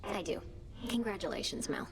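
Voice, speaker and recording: A woman's voice: mid-range voice, animated, normal speed, creaky, background noise. A woman playing a casual, skeptical shade in a game, with a blunt, offhand way of talking. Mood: grudgingly pleased. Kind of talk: scripted game voice acting.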